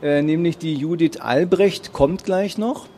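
A man's voice: on the high side, measured pace, warm tone, a little hiss or hum. Tired man with a Berlin accent, hesitant. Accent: Berlin German. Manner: hesitant, many ums and uhs. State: tired after a long fair day. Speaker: publisher presenting at a book fair stage. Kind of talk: spontaneous presentation to an audience. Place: book fair hall.

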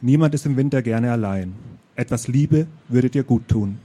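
A man reading aloud, voicing a calm, wise male friend who speaks softly and reassuringly. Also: mid-range voice, moderate pace, close to an everyday voice. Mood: gentle.

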